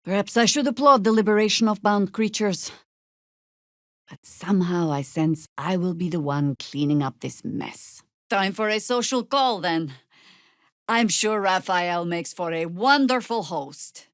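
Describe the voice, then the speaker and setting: A woman playing a stern, wry older female druid warrior in a video game, speaking in a dry, measured, sardonic way. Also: medium pitch, expressive, a bit bright, no room sound. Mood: dry, commanding. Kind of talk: scripted video game character lines.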